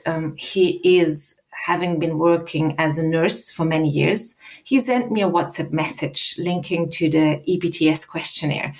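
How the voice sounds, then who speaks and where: A woman from Austria in her thirties, a guest telling her own story in a measured, halting way with small restarts. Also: fairly low pitch. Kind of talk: personal account in an interview.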